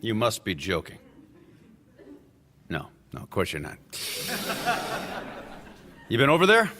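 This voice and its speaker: An actor playing a wry, middle-aged lawyer and war veteran in a radio drama, talkative and argumentative. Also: male voice, on the low side, huge pitch swings, a little slow, very noisy. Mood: chatty, wry. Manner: sardonic, chatty, argumentative. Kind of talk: naturalistic radio drama acting.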